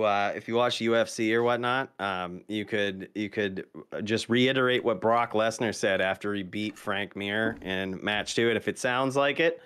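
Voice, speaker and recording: A man's voice: lowish voice, fairly bright tone, clear, resonant tone. A man in his thirties from Maine hosting a casual gaming podcast, talking in a loose, rambling way with lots of fillers. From Maine, USA. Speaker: podcast host and streamer.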